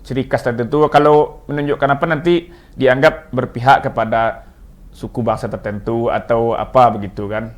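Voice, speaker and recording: A man from West Sumatra with a Minangkabau accent, a language expert guest on a talk show, explaining in a measured way with frequent hesitant fillers. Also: mid-range voice, steady tone. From West Sumatra, Indonesia.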